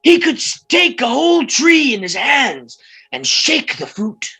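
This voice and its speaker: A man's voice: very high voice, huge pitch swings, unhurried, bright, forceful tone, slightly rough, soft background music, exaggerated voice. A man playing a bombastic, grandiose middle-aged circus ringmaster, delivering theatrical barker patter in a script read. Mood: showy, grand. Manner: grandiose, showy. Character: bombastic fifty-year-old circus ringmaster, small but titanic.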